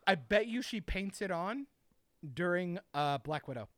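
A man's voice: high voice, lively intonation, a little slow, a bit bright, dry recording, clean studio sound. A man ranting heatedly and profanely in a casual chat among friends.

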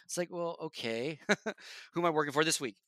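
A man talking shop in a casual podcast chat as a guest from esports broadcasting. He is blunt and emphatic, swears, and often sinks to a low, quiet voice. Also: fairly quick, no room sound, studio quality.

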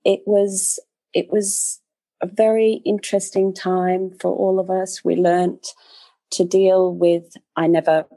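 Slow, low-voiced Australian-accented woman. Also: vocal fry, darkish tone, no room sound. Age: middle-aged. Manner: thoughtful, hesitant, with many ums and pauses. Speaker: podcast guest. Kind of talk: casual interview storytelling.

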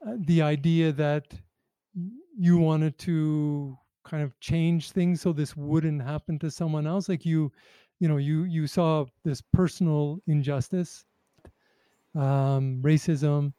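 A man's voice: fairly high pitch, steady tone, slow, dark tone, smooth, dry recording. A man interviewing someone conversationally, thoughtfully rephrasing his questions as he goes.

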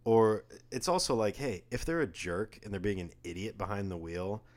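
Young guy with a real low voice, joking around on a podcast, gets loud and fired up. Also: moderate pace. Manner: animated, jokey, rising in pitch and volume when riled. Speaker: podcast co-host. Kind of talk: casual chat.

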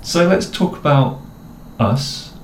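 A young adult man from the English Midlands with a mixed, somewhat posh English accent, co-hosting a casual chat in a relaxed, rambling way with self-mocking jokes. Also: a little high, takes it slowly. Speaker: co-host. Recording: echoey room with faint noise.

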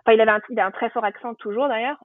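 A woman's voice: a little high, rapid-fire, dry recording. A woman from France telling her family story in a casual podcast chat, full of fillers and restarts. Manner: chatty, warm storytelling with frequent fillers and restarts. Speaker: guest. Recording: tinny phone-line audio.

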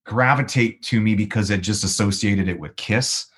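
Baritone guy rambling through a story, lots of restarts, kinda low voice. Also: moderate pace, a bit bright, breathy edge. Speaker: guest musician. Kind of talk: storytelling in a podcast interview.

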